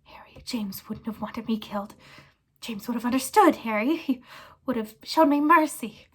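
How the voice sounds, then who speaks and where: A woman voicing a cowardly man groveling for his life, squeaky and whimpering, stammering as they plead in a storybook reading. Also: moderate pace, fairly bright tone, slightly rough. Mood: wheedling. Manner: stammering, imploring.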